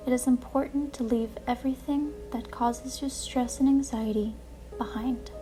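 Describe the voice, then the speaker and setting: A woman hosting a guided relaxation session, speaking in a calm, gentle, deliberate way with soothing narration. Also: a little high. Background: music playing behind the voice.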